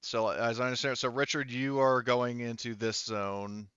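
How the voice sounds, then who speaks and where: A man running a tabletop game as game master, talking casually and haltingly as he thinks aloud. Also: medium pitch, level tone, a bit bright, clean tone, no echo, muffled recording.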